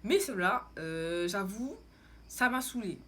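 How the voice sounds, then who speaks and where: A woman's voice: mid-range voice, expressive, slow pace, fairly bright tone. A young woman from France chatting casually as a vlogger, with an informal, slangy, rambling way of talking. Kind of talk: casual chat to camera.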